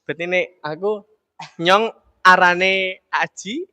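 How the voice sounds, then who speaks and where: Young guy from solo hosting a chill interview, high bright voice, dry sound. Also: slow, no echo. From Solo, Central Java. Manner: upbeat, repeats "oke, siap". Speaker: interviewer host. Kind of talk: casual interview chat.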